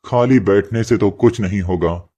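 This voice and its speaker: A man voicing a poor, meek labourer husband in an animated moral story, gentle and apologetic. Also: fairly low pitch, normal speed, close to an everyday voice. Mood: patient, resigned. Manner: gentle, pleading and apologetic toward his boss.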